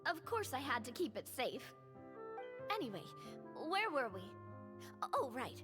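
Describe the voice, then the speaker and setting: A woman playing a cheerful, bold young noblewoman in a video game, breezy and expressive. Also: high voice, a little slow, music in the background. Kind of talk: video game character acting.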